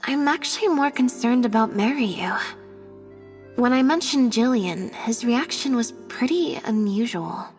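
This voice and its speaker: Thoughtful young detective girl in a game, cool and measured, echoey reverb, soft music. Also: fairly high pitch, expressive. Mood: musing, suspicious. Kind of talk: video game voice acting.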